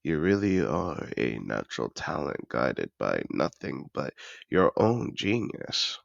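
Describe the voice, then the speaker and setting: Man acting a very deep, gravelly aristocratic dragon seducer. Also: big pitch swings, slow, no room sound, muffled recording, exaggerated voice. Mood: excited, urging. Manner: refined, effusive, theatrical phrasing. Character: wealthy cultured male dragon in human disguise, possessive, effusive seducer. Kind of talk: scripted audio roleplay addressed to the listener.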